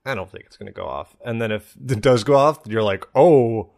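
A man's voice: fairly low pitch, a little fast, creaky, darkish tone. Man riffing in casual comedic banter. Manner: rambling, acts out imagined quotes. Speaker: comedy podcast co-host.

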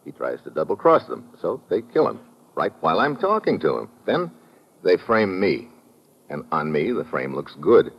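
A tough American government agent in an old radio thriller. His low voice is animated and clipped, full of wisecracking slang. The sound is muffled and noisy. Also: moderate pace, full character voice. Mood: reasoning. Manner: hard-boiled, slangy, clipped. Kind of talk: old-time radio detective acting and narration.

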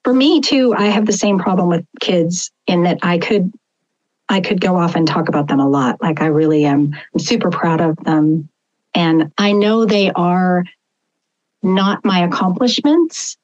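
A woman's voice: on the low side, a little slow, darkish tone. A woman in her forties hosting an interview, reflective and affirming. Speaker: interview host. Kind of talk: conversational interview. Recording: dull lo-fi audio.

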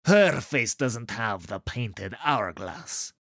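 Narrator doing a gruff thug boss guy, slow raspy growl, sneering audiobook bad guy. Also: medium pitch, sing-song, a bit bright, no room sound, clean studio sound, full character voice. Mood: menacing. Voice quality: growling, snarling, husky. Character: gruff, scornful male thug guard captain. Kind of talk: dramatic audiobook villain acting.

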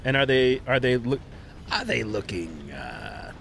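A man playing a tabletop game on a podcast, talking casually in a halting, deliberate way. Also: mid-range voice, wildly swooping pitch, fairly bright tone. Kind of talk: casual improvised game chat. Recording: clear background noise.

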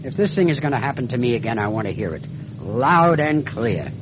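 An actor playing a seasoned airline captain in a radio drama, with clipped, commanding pilot talk. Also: male voice, medium pitch, big pitch swings, a little fast, natural voice. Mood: subdued, resolute. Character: seasoned male airline captain haunted by distress call. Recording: muffled old radio, loud engine noise.

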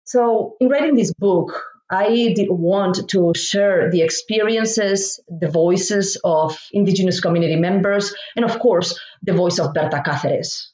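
A woman from Spain, a professor giving measured, thoughtful interview answers and pausing to find her words. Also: mid-range voice, a little mellow. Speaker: guest author, professor. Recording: roomy echo.

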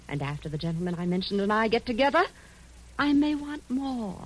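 A woman's voice: medium pitch, big pitch swings, a little fast. An actress playing a sly, sophisticated female blackmailer with an arch, mocking drawl in 1940s radio drama style. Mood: cold, demanding. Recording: old radio recording, faint hiss.

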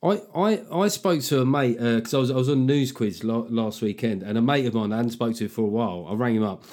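A man's voice: mid-range voice, a little fast, a little mellow. A man with a London accent hosting a casual podcast interview, asking thoughtful, probing questions with loose restarts. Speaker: podcast host.

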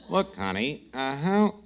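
Brash New tavern wise guy, high swooping voice. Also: noisy background. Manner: slangy, ungrammatical, mangles words. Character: brash, wisecracking tavern manager, a scheming lowbrow man. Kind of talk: 1940s radio comedy acting. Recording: dull vintage radio broadcast recording.

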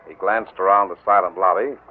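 A man announcing and narrating a vintage western radio drama in a booming, declamatory style. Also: steady tone, fairly quick, a little hiss or hum. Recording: tinny old radio broadcast.

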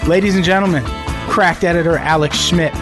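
A man's voice: on the high side, brisk, music in the background. A man hosting a podcast, joking and teasing his guests in casual banter, swearing often. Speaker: podcast host.

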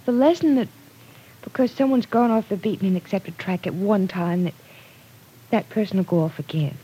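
A woman's voice: mid-range voice, normal speed, warm tone, slightly rough, natural voice, rough recording. An actress playing an earnest, persuasive young businessman's daughter in an old radio drama, pleading and insistent. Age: young adult.

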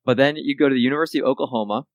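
A man's voice: mid-range voice, very fast, dry recording, lo-fi sound. Host, man with a mid-range voice, easygoing and upbeat, recorded dry and close. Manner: upbeat hype intro, then casual questions. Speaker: podcast host. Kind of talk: casual podcast interview.

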